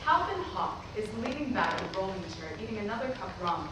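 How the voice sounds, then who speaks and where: A woman's voice: medium pitch, sing-song, quick pace. A woman reading out stage directions as scripted narration at a staged play reading. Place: staged reading filmed in a roomy venue. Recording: echoey room, far mic, loud noise.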